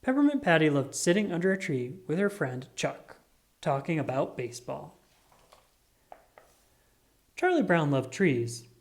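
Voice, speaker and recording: A reader giving an expressive, scripted read-aloud of a children's picture book. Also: medium pitch. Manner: animated, expressive reading.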